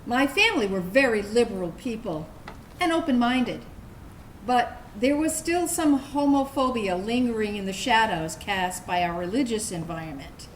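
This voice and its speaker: A woman's voice: a little high, normal speed, natural voice. A woman acting a reflective former Navy officer, reading an earnest personal monologue on stage. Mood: reflective, candid. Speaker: actor reading a veteran's personal narrative. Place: indoor room, staged reading. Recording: echoey room, steady background noise.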